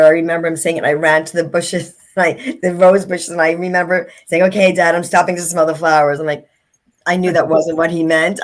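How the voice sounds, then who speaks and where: A middle-aged woman, an author and speaker, telling her story as an interview guest in an earnest, reflective way. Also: on the low side.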